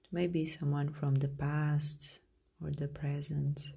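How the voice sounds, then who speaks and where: A woman leading a guided meditation in a gentle, soothing, unhurried way with long pauses, her voice soft and at times breathy. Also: low voice, flat delivery. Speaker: meditation guide, podcast host.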